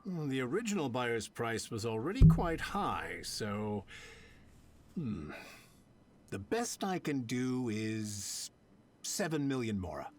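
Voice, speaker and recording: A greedy, haggling merchant. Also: male voice, medium pitch, big pitch swings, slow, background noise. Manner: haggling, then pleading. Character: greedy male merchant, turns nervous. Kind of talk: game voice acting.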